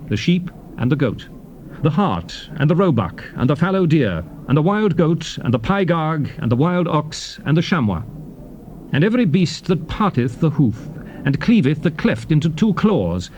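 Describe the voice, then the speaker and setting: A man narrating a dramatized Bible reading in a solemn, stately, emphatic manner, declaiming in short measured phrases. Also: a little high, a little mellow. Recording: hissy old cassette tape.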